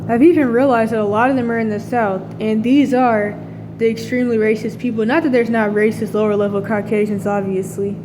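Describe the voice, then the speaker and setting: A woman's voice: a little high, fairly even intonation, a little fast, background noise. A woman talking in a casual, rambling monologue, full of fillers and restarts. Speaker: vlogger.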